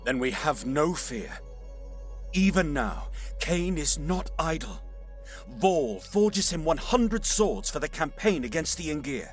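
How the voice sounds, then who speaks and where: A man's voice: on the low side, very animated, slow, long pauses, faint music behind, full character voice. A man playing a bold, devout and loving alien warrior in an audio drama, declaiming in a grand, earnest way.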